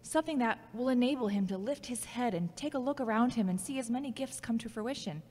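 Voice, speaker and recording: A woman's voice: a little high, fast, a little mellow, natural voice. A woman acting a worried, frank woman who prays in a wry, informal way in an audio drama. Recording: echoey hall with long reverb.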